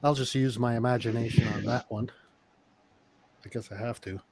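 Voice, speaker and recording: Man, medium pitch, casual conversation, halting, agreeable. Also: fairly even intonation, a little mellow, husky. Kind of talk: casual chat.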